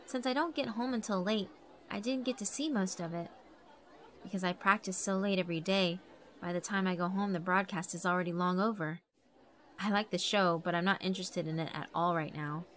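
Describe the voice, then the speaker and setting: A woman's voice: lowish voice, fairly quick, a bit bright, some chatter behind, slight background noise, natural voice. A woman voicing a tense, stubborn schoolgirl in a dramatic horror visual novel reading. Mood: weary. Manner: intense, defiant, dramatic. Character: Midori, tense, stubborn schoolgirl lead of a play. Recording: background music under the voice.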